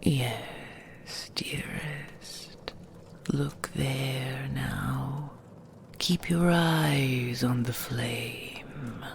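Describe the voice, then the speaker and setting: A woman's voice: extremely low pitch, drawn-out words, slight background noise, full character voice. A woman playing an ancient, possessive and tender she-dragon in an intimate audio roleplay, whispering soothingly with formal, old-fashioned phrasing. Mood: hypnotic, doting. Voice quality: breathy, creaky whisper.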